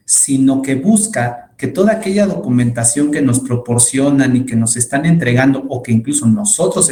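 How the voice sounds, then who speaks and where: A man's voice: medium pitch, a little mellow. A Mexican man giving an orderly, formal explanation as an expert panelist in a webinar. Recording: echoey room sound.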